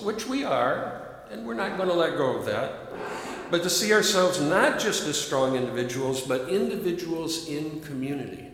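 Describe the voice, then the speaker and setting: An older man from the Midwest, a minister giving a reflective, earnest sermon. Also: a little high. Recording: echoey hall, long reverb.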